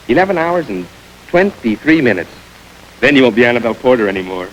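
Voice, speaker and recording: A man's voice: medium pitch, sing-song, a little fast, fairly bright tone, a little hiss or hum, poor audio. An eager young working guy. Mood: earnest. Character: earnest young working man, engaged. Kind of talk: 1940s radio acting.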